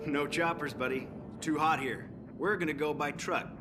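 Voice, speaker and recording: A man's voice: on the high side, steady tone, moderate pace, lightly acted. A man playing a friendly, folksy Southern US soldier in a video game, drawling his lines. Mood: folksy, brisk. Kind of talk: video game cinematic acting. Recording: clear music behind.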